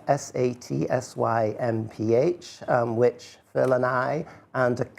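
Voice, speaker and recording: Older man, creaky voice, medium pitch, unscripted public talk, halting, rambling, self-deprecating. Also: darkish tone. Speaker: artist and poet giving a talk.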